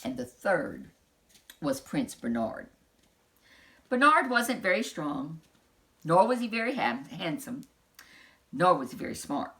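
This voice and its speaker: Lady reading a kids book out loud, super animated, bright voice, throws in casual little asides. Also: sits low, a little slow, pauses often. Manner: animated, dramatic emphasis. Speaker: storyteller reading a children's book aloud. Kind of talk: expressive storybook narration with casual asides.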